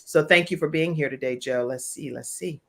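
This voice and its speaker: A woman's voice: low voice, moderate pace, vocal fry, fairly bright tone. Effusive American woman hosting a talk show. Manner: effusive, encouraging, addresses viewers by name. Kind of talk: live online talk show chat.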